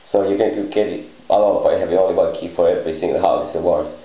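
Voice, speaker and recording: A man improvising a rambling monologue in halting, disjointed phrases with garbled grammar. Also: low-pitched, steady tone. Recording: muffled lo-fi, echoey room.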